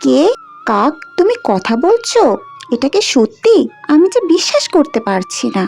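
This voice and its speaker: A woman voicing a sweet, innocent, mistreated village girl in an animated fairy tale. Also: high-pitched, unhurried, creaky, faint music behind, heavily acted. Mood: amazed. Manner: soft-hearted, innocent.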